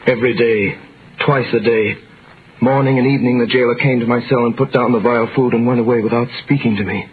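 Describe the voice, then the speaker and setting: Actor as a desperate prisoner, noisy vintage radio. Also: male voice, mid-range voice, steady tone, fast, narrow, tinny audio, lightly acted. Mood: brooding despair. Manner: theatrical, intense, dramatic. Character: wrongly imprisoned young sailor, desperate, brooding, near madness. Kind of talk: 1930s-40s radio drama acting and narration.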